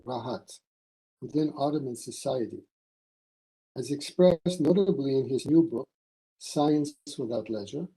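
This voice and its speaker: Male academic lecturer, mid-pitched and very mellow, formal read-aloud delivery in deliberate short phrases. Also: poor audio. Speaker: academic presenting a conference paper. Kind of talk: formal scholarly lecture read from a prepared text.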